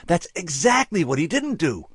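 Man voicing an excitable, high-pitched muddled young student. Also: normal speed, slightly rough, dry recording, clean studio sound, heavily acted. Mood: flustered. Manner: rambling, breathless emphasis, gropes for words.

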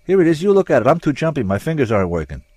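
A man's voice: sits high, wildly swooping pitch, a little fast, no echo, pitched above the performer's own voice, full character voice. A man voicing a nervous, flustered middle-aged male burglar in an audiobook, jumpy and whining as he protests his innocence. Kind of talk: audiobook character voice.

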